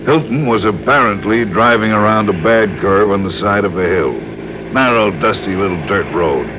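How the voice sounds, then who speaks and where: An actor playing an earnest male insurance man who explains things deliberately and carefully in an old radio crime drama. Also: on the low side, lightly acted. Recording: muffled, noisy old radio recording.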